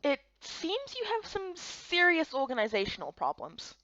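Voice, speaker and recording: A woman's voice: sits high, huge pitch swings, normal speed, pushed and bright, dry recording, dull audio, exaggerated voice. A woman voicing a puzzled, polite young newcomer in a visual novel game. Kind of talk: voiced playthrough of fantasy visual novel.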